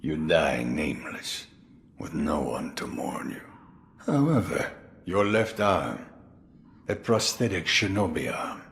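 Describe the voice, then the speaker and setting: An actor playing a gruff old swordsman in a game cutscene, sly and relishing, with sudden loud outbursts. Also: rumbling low, sing-song, slow, heavily acted. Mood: gruff theatrical. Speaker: mentor recruiting the hero. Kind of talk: video game cutscene acting.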